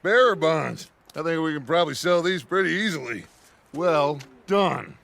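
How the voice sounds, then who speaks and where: An actor playing a middle-aged American outlaw gang leader in a video game, commanding and theatrical, with a rousing, oratorical way of speaking. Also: male voice, a little high, animated, moderate pace, vocal fry, fairly bright tone. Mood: brisk, in command. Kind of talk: video game cutscene acting.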